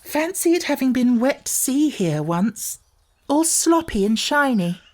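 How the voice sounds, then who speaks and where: A man's voice: extremely high pitch, very animated, unhurried, darkish tone, pitched above the performer's own voice, exaggerated voice. A man voicing an anxious, imaginative little girl in a storybook reading. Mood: dreamy, wondering. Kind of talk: audiobook character voice.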